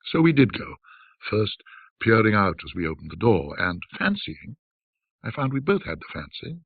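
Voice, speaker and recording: A man narrating a classic ghost story in a measured, dramatic, old-fashioned storytelling manner. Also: fairly low pitch, very animated, gravelly, breathy edge, dry recording, phone-quality sound.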